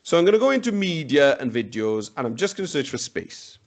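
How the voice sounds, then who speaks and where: Upbeat man demoing software. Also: fairly high pitch, very animated, a little fast. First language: Welsh. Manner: upbeat, chatty, thinks aloud. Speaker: webinar presenter. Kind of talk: live software demonstration. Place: indoor room, on a video call.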